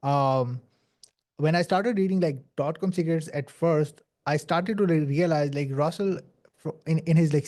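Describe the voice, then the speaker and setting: Young male podcast guest, Pakistani accent, non-native English, higher-pitched but mellow, earnest and filler-heavy. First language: not English. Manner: earnest, many "like" fillers and restarts. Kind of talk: casual interview storytelling.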